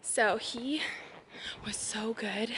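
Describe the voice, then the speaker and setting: A woman's voice: fairly high pitch, drawn-out words, over loud music. A teenage girl chatting casually to a handheld phone camera at a barn, full of fillers and often dropping to a whisper. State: sweaty and out of breath after riding. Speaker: vlogger. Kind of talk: casual vlog chat. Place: indoor riding arena and barn. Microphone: phone camera at arm's length.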